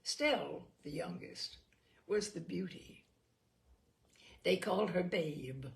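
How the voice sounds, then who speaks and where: Female story reader: animated intonation, unhurried deliberate phrasing, creaky edge, literary narration. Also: lowish voice.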